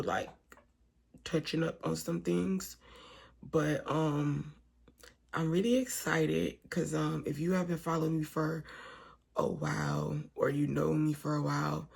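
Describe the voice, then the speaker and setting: A young woman vlogging late at night with a hoarse, fading voice, talking softly and partly in a whisper. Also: sits low. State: hoarse, voice almost gone. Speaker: mom vlogger. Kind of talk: casual talk to camera. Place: home at night, lying down with her baby.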